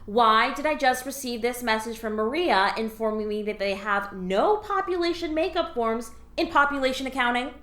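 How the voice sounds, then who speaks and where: A woman's voice: a little high, moderate pace, few pauses, fairly bright tone, lightly acted. A woman voicing an exasperated alien office worker. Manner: sarcastic, scolding, weary. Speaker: senior coworker training a new hire. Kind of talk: comedic audio drama acting. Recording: roomy echo.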